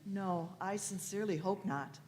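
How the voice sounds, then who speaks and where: A woman's voice: medium pitch, normal speed, a little mellow, lightly acted. A woman playing an eccentric, imperious elderly time traveller in a radio play, fussily humming and repeating words. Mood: fond, thoughtful. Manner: fussy, repeated words and hums. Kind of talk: live radio play reading.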